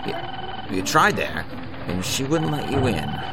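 A man playing a harried, offhand fixer muttering slangy, halting talk in a comedic audio drama. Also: lowish voice, very animated, over music, exaggerated voice. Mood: harried, evasive. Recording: clear background noise.

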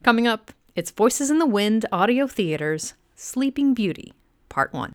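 A woman's voice: fairly high pitch, expressive, measured pace, no room sound, studio quality. A woman hosting a radio show, giving a scripted introduction.

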